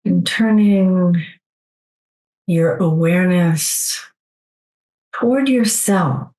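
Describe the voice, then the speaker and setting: A woman leading a guided meditation in a soothing, gentle, unhurried way, leaving long pauses between phrases. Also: fairly low pitch, rough recording.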